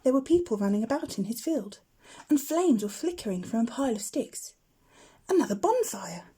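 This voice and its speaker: A woman's voice: a little high, big pitch swings, normal speed, warm tone, husky. A woman narrating a children's bedtime story as a teacher would.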